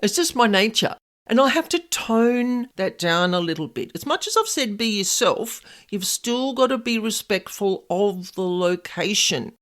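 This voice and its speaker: A middle-aged woman with a loud, extravagant voice, talking casually as a podcast host. Her pitch swoops up and down with animated energy as she drifts from one tangent to the next. She sounds like she is chatting freely rather than reading. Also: unhurried. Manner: extravagant, animated, easily sidetracked. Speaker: podcast host, paranormal investigator.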